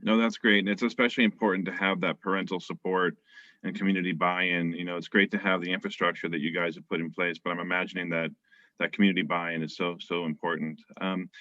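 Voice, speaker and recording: Middle-aged guy hosting on a webcam, really low voice, polite, dull dry call sound. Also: fairly bright tone, no echo. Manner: polite, encouraging, with ums. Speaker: interview host. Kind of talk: video call interview. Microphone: webcam on video call. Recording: dry muffled video call audio.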